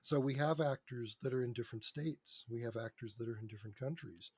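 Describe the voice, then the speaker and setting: A mature man with a medium-pitched voice speaks as an interview guest. He tells stories in a conversational way, recorded close and dry over a remote podcast link. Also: fairly quick, breathy edge, dry recording. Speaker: podcast guest, screenwriter and radio drama producer. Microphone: close mic on a remote podcast recording.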